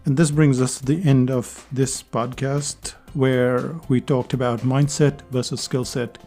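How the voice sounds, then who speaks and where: Mellow-voiced man hosting a podcast. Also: medium pitch, little pitch movement, a little slow, faint music behind. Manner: earnest, conversational, repeats phrases for emphasis. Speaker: podcast host. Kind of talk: solo podcast monologue.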